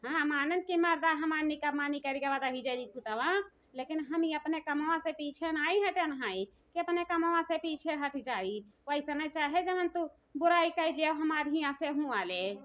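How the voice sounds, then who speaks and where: A woman's voice: high voice, moderate pace, lightly acted. A woman voicing a poor, aggrieved village daughter-in-law in a comedy cartoon, complaining plaintively in rural dialect. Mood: indignant. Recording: dull, muffled audio.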